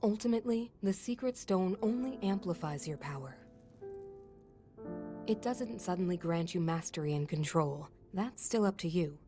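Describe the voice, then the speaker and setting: An actress playing a learned, scholarly non-human sage and older sister, explaining in a measured, thoughtful way. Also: female voice, on the low side, animated, music in the background, close to an everyday voice. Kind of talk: game cutscene voice acting.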